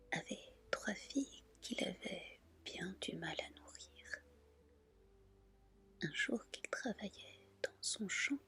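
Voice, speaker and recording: A woman reading folk tales as ASMR in a soft, breathy whisper, telling them gently and carefully. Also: medium pitch. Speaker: ASMR storyteller, channel host.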